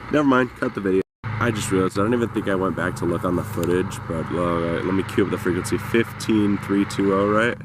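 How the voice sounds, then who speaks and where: A man's voice: sits low, noisy background. A man doing casual, off-the-cuff commentary as a shortwave radio hobbyist, recorded outdoors in a backyard. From United States.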